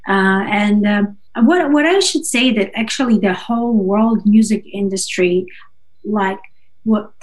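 Halting woman. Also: medium pitch. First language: Russian and Georgian. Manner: halting, searching for words, checks with "right?". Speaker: interview guest, singer-songwriter. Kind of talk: casual conversational interview.

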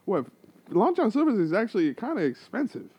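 A man's voice: high-pitched, wildly swooping pitch, fairly quick, lo-fi sound. A man in a casual group chat who talks with lots of energy, laughs a lot, and slips into funny voices.

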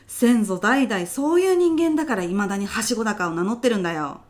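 A woman plays a female senior office worker in a comic radio drama, pitched notably high. She frets over small things and bosses her junior about. Her wording turns blunt and rough whenever she is challenged. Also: lively intonation, a little fast, close to an everyday voice. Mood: fretful. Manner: blunt, pushy, rough-mouthed when challenged. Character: bossy, fretful female senior office worker with petty worries. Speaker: voice actor in a comedy radio drama. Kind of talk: short comedic radio drama.

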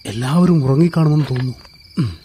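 A man's voice: medium pitch, lively intonation, quick pace, dark tone, slight background noise, lightly acted. An actor playing a nervous burglar in a radio play, muttering to himself as he sneaks through a house, then pleading when caught.

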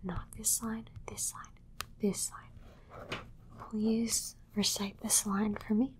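A woman's voice: mid-range voice, level tone, natural voice. Woman playing a whispering, clinical female alien doctor. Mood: clinical, instructing. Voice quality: soft breathy whisper. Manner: slow repetitive instructions, matter-of-fact. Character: female alien doctor, clinical, condescending, studying human captive. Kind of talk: whispered ASMR medical exam roleplay.

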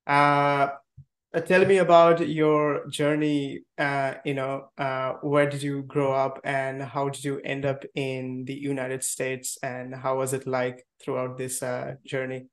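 A man's voice: medium pitch, completely flat delivery, slow. A man hosting an interview podcast, speaking with many hesitant "uh" fillers. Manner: heavy use of "uh" fillers. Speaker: podcast host. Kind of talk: conversational interview. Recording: echoey room.

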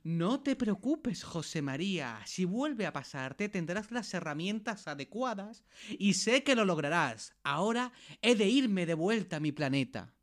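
A man playing a reassuring therapist from another planet in an amateur radio play, speaking slowly and deliberately. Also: high voice, very animated. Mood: encouraging, solemn.

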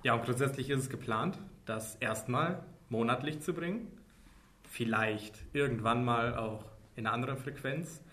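A man's voice: mid-range voice, normal speed, a bit bright. A man in his twenties co-hosting a casual podcast, dry and joking. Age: 20s. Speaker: podcast co-host.

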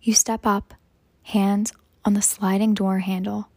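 Slow, breathy woman guiding meditation. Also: medium pitch, flat delivery, no room sound. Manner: hushed, soothing, measured delivery with long pauses. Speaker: guided meditation host. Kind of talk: slow guided meditation narration.

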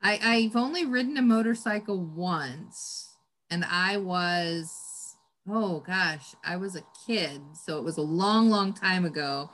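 A woman's voice: on the low side, takes it slowly, a bit bright. A middle-aged woman hosting a podcast interview, chatty and teasing, often laughing as she talks. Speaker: podcast host. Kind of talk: casual interview chat.

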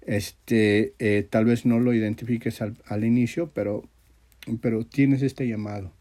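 A man, stuffed up with a sore throat, teaching and praying as a religious leader in an informal, earnest, halting way with frequent repetition. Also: fairly low pitch, steady tone, warm tone. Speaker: religious teacher leading an audio class. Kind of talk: informal sermon and prayer.

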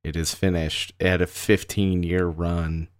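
A man's voice: sits low, level tone, measured pace, clear, resonant tone, no echo. A young man co-hosting a podcast, bantering in a laid-back, irreverent way with casual swearing. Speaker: podcast co-host.

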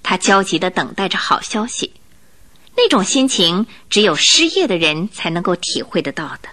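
Animated woman storyteller over faint music. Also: medium pitch. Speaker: narrator of a radio drama. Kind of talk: expressive scripted radio storytelling.

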